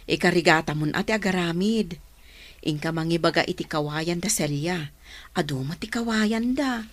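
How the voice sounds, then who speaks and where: A woman's voice: on the low side, normal speed, close to an everyday voice. A woman playing a worried, plain-spoken mother from a poor rural household in a radio drama. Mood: urging.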